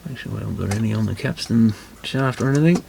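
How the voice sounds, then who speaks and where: A man with a lowish, creaky voice talks casually through hands-on repair work. He mutters partly to himself as he goes. The recording is noticeably noisy, with small clicks. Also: fast talker, mellow. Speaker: repair video presenter.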